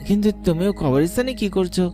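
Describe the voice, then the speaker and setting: A man's voice: sits high, animated, brisk, warm tone, soft background music. Man acting a timid, easily frightened young son. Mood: terrified. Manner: hesitant, stammering when afraid. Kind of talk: Bengali horror cartoon acting.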